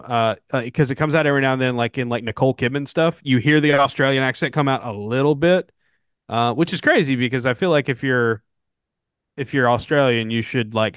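A man's voice: mid-range voice, a bit bright, smooth, no room sound, studio quality. A man with a Southern American accent, co-hosting a podcast and chatting casually, rambling with lots of fillers. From Southern United States. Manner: rambling, frequent fillers and restarts. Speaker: podcast co-host. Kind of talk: casual sports podcast chat.

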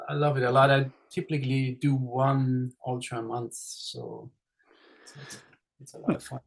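A man's voice: medium pitch, steady tone, normal speed, poor audio. A middle-aged man from Switzerland with a Swiss accent, chatting casually as a podcast guest, friendly, with lots of fillers and restarts. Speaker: race director guest. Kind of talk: casual interview chat.

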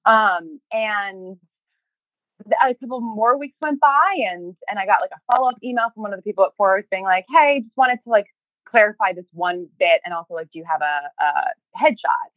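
A woman giving a casual interview as a podcast guest, talking in a chatty, halting way and often slipping into quoted speech. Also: mid-range voice, lively intonation, no room sound, phone-quality sound. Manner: chatty, halting, acts out remembered thoughts and emails in quoted speech. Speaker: podcast guest, fiction podcast creator and writer.

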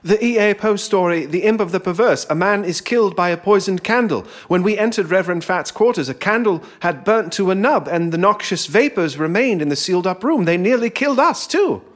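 An actor playing an earnest, bookish, insistent schoolboy detective in a comedic audio drama. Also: male voice, sits high, expressive, fairly quick, few pauses.

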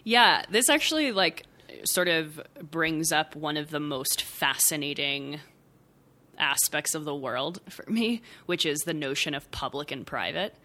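Bright-voiced young woman musing aloud. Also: sits low, animated, measured pace. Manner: thoughtful, rambling, many fillers and soft laughs. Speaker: podcast guest, writer. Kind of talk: casual reflective conversation.

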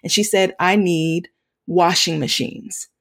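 A woman with a slightly low voice speaks as a guest in a reflective interview. She is earnest and halting, often pausing in the middle of a thought before carrying on. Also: steady tone, a bit bright. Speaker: podcast guest, nonprofit founder working with students.

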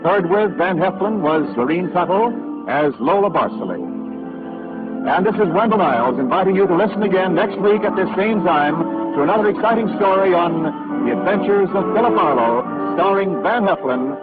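High-pitched vintage American male radio announcer. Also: expressive, moderate pace. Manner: formal, projected presentation. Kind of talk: 1940s radio announcing, scripted credits. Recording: tinny vintage radio recording over loud music.

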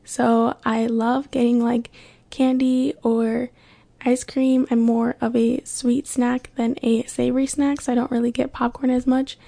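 A young woman hosts her own podcast in a loose, chatty way, full of little fillers. Her voice sits a bit high but stays flat and even, with a mellow, soft tone and a lot of gravelly fry. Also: measured pace. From born in Kenya, raised in California. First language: Swahili. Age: young adult. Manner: chatty, relaxed, filler-heavy. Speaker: podcast host. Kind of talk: casual solo podcast chat.

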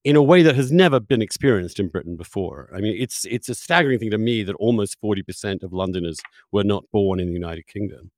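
A man's voice: lowish voice, expressive, quick pace, hardly any pauses, darkish tone, no echo, clean studio sound. A man hosting a conversational interview, putting opinions and questions in an emphatic, probing way. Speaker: interviewer, host.

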